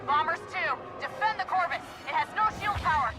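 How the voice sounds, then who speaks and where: A woman's voice: very high-pitched, brisk, crowd noise. A woman playing a loyal, resolute military commander in a space combat video game, clipped and commanding. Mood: urgent. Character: resolute female Imperial squadron commander, fiercely loyal. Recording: loud game music and engine noise behind.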